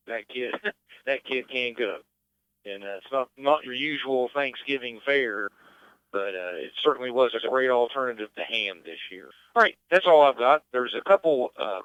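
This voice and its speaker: Bright, clear middle-aged American man hosting. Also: medium pitch, no room sound. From West Virginia, United States. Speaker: ham radio net control operator. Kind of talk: unscripted radio net hosting, casual chat. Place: amateur radio transmission. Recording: slightly dull, dry radio-net audio.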